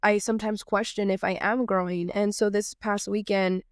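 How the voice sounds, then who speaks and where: A woman's voice: medium pitch, flat delivery, moderate pace, clear, resonant tone, no echo, clean studio sound. Nasal-sounding woman hosting a podcast. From Texas. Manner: earnest, reflective, loosely improvised with fillers. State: nasal from a cold. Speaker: podcast host. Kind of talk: reflective podcast monologue.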